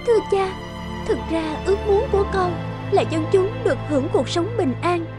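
A woman's voice: extremely high pitch, lively intonation, moderate pace. A woman playing a sweet, earnest, kind young princess in a dubbed cartoon. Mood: earnest, gentle. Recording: loud music behind the voice.

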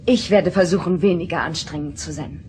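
A woman playing a composed young woman, lowish voice. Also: a little fast, darkish tone, slight background noise, lightly acted. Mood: gentle. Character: composed, dutiful young half-alien woman, exasperated daughter. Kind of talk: dubbed sci-fi audio drama acting.

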